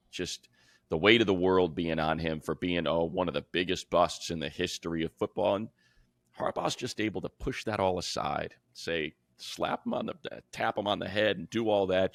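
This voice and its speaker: A man's voice: low voice, normal speed, gravelly, dry recording, clean studio sound. A man co-hosting a sports podcast, breaking down football in casual, colloquial talk.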